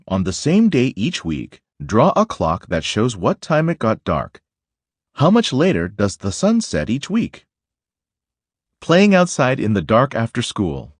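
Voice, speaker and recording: A man narrating a children's nonfiction book aloud in a deliberate, instructive way. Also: on the low side, husky, no echo, clean studio sound.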